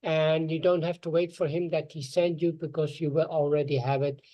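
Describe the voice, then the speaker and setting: A woman on a group call explaining a process in halting speech, often repeating words. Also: low voice, little pitch movement, dark tone.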